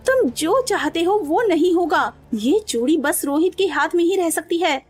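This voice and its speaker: Woman playing a high-voiced, noble magical queen. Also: lively intonation, normal speed, soft background music. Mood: firm, defiant. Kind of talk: Hindi cartoon story voice acting.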